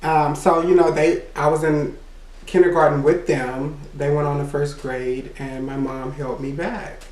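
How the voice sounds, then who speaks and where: High voiced guy telling a story slow, lots of "you know", echoey room, kinda far off the mic. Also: steady tone, a little mellow. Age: adult. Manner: rambling, peppered with "you know"; laughs between remarks. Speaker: vlogger. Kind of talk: casual storytime monologue.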